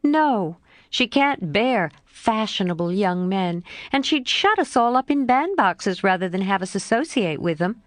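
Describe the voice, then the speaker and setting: A woman voicing a spirited, frank young woman who scolds and pleads with a friend in a bright, rising voice. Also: medium pitch, sing-song, a little slow, dry recording, studio quality. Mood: earnest.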